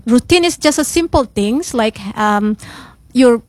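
A woman with an Indonesian accent, a radio guest and English teacher, speaking in halting English with many restarts and fillers, and giggling often. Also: on the high side, animated, a little mellow, dry recording. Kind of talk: casual radio chat.

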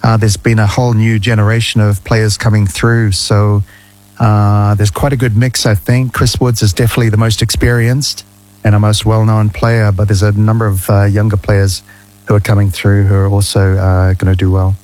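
A man, a guest ambassador giving measured, friendly answers in a radio studio interview. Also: lowish voice, level tone, a little mellow, low-quality recording. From New Zealand. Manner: measured, friendly, explains at length.

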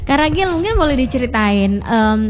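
A woman's voice: high voice, very animated, moderate pace. A young woman from Indonesia hosting a casual radio chat in a studio, bubbly and teasing. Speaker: radio host.

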